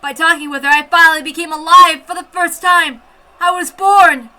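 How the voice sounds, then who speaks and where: A woman voicing a terrified, desperate Japanese soldier man, shouting frantically and melodramatically as she reads a story aloud. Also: extremely high pitch, fairly even intonation, moderate pace, pitched above the performer's own voice, full character voice. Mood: desperate, panicked.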